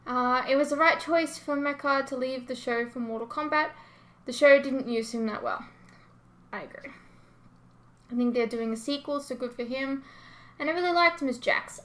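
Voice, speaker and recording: A woman's voice: high-pitched, fairly bright tone. An Australian woman chatting casually to her viewers as a reaction host, informal, rambling and self-deprecating as she reads and answers comments.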